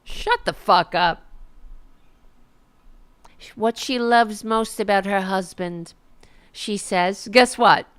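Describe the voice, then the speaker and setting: A woman's voice: medium pitch, slow pace, long pauses, fairly bright tone, clean tone. A woman hosting a casual political livestream, sarcastic and profane, who is losing her voice. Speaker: political commentary host.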